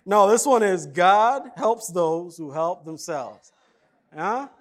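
A man's voice: high-pitched, takes it slowly. A man from Kenya preaching a casual, jokey sermon and telling stories. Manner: conversational, jokey, animated. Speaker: pastor.

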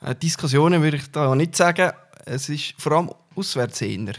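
A man's voice: a little high, expressive, normal speed. Hesitant middle-aged Swiss German man. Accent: Swiss German, mildly Uri dialect. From Canton Uri, Switzerland. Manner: hesitant, frequent filler sounds. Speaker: political candidate. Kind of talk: interview answers.

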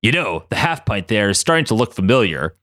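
A man voicing a gruff, confused mutant man with claws, growling and given to dramatic outbursts in a story. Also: lowish voice, very animated, fairly quick, fairly bright tone, no room sound, clean studio sound. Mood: puzzled.

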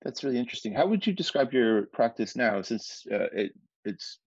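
A man hosting an interview, asking curious questions and quickly correcting himself mid-sentence. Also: medium pitch, sing-song, husky, dry recording, highs cut off. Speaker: interview host and press editor. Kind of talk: conversational interview.

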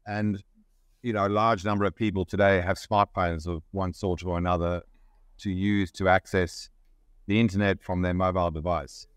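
A middle-aged man from Zimbabwe, a company CEO explaining his business in measured, steady answers as an interview guest. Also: low-pitched, smooth, dry recording, studio quality.

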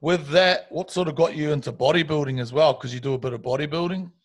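Laid-back New host, slightly high. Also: male voice, fast. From New Zealand. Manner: laid-back, repeats short affirmations. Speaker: podcast host. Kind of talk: casual podcast interview.

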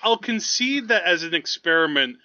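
A man with a very bright, forceful voice co-hosts a casual podcast. His delivery is animated and jokey, and he laughs readily. The audio is slightly dull and lo-fi. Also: a little high, moderate pace. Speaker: podcast co-host. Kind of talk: casual movie chat.

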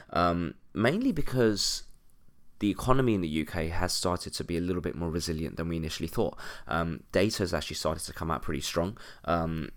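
Deep voiced guy with a blocked nose, solo podcast, lots of ums. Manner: frequent "um" fillers, steady explanatory delivery. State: congested, stuffy nose from a cold. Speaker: podcast host, currency analyst. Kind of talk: solo market commentary podcast.

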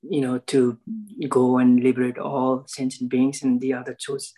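A man's voice: mid-range voice, completely flat delivery, moderate pace, mellow, rough recording. A man from Nepal, new to a meditation group, thinking aloud in a casual discussion and searching for words. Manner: thoughtful, searching for words, frequent ums and rights.

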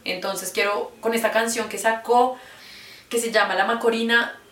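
A woman's voice: on the high side, fairly even intonation, normal speed. A woman co-hosting a casual music review, hesitant and searching for words as she describes songs. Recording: roomy, distant mic with faint noise.

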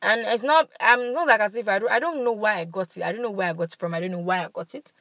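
A young woman with a Nigerian accent hosting a podcast in casual, animated chat. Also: mid-range voice, fast, dull audio. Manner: chatty, animated, laughs and switches into pidgin. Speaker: podcast host.

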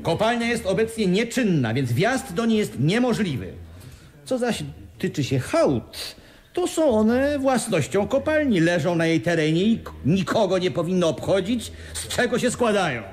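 An actor playing an evasive, commanding mine owner who speaks formally and emphatically in a radio play. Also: male voice, high-pitched, sing-song, normal speed, a little mellow. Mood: stern, irritated. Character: evasive, commanding male mine owner hosting a press conference. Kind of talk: 1980s Polish radio play acting.

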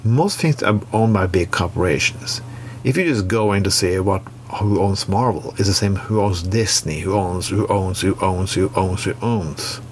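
Low-voiced Norwegian man telling a story. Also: moderate pace, a little hiss or hum. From Norway. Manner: rambling, repeats himself, swears freely. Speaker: podcast co-host presenting a segment. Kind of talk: casual storytelling monologue.

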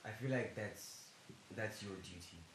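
A man's voice: on the low side, measured pace. A young man co-hosting a podcast in casual banter, loose and laughing, trailing off with lots of fillers. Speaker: podcast co-host. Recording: roomy with faint hiss.

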